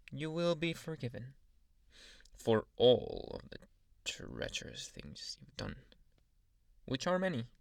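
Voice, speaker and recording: A man playing a jealous, dominant anime boyfriend in an ASMR roleplay, teasing and menacing, with a deliberate delivery that dips into whispers. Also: mid-range voice, gravelly, pushed and bright, no echo, clean studio sound, full character voice. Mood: condescending, coaxing.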